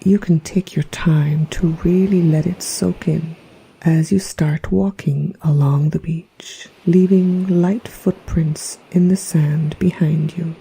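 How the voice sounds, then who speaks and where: A woman narrating a guided meditation in a soft, breathy, half-whispered voice with a gentle, soothing, hypnotic manner. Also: low-pitched, steady tone, slow pace, low-quality recording. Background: relaxation music.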